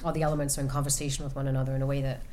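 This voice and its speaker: A woman author answering audience questions about her novel, speaking thoughtfully with many pauses and restarts. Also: very deep voice, level tone, warm tone, a little hiss or hum. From United States. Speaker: author at a reading. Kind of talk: book talk and audience Q&A. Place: event room with an audience.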